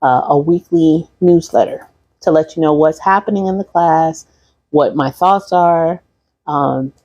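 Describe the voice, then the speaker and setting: A woman's voice: low voice, creaky, dark tone, no room sound, poor audio. A woman from Michigan, a holistic practitioner, wrapping up her livestream class in a rambling, repeating way and talking to viewers. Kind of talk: casual livestream class wrap-up.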